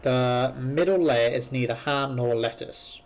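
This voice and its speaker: A man's voice: medium pitch, a little slow. Man thinking aloud over game music. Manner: thinks aloud while working through puzzles. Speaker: video game streamer. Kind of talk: live game commentary, reading puzzles aloud. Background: video game music.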